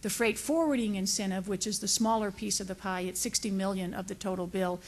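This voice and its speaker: A woman's voice: mid-range voice, fairly even intonation, brisk. A woman explaining details to a legislative committee in semi-formal testimony.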